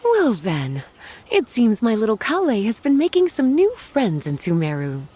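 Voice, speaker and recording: A woman's voice: fairly high pitch, wildly swooping pitch, mellow, slightly rough, heavily acted. A woman voicing a languid, teasing young sorceress in a video game, coy and playful in her delivery. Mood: fond, teasing. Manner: coy, drawn-out, playful endearments.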